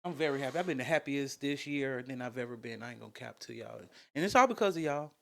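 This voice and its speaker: A man's voice: mid-range voice, expressive, fast talker, creaky, fairly bright tone. A young man co-hosting a podcast, telling stories in loose, profane slang. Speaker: podcast co-host. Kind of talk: casual chat.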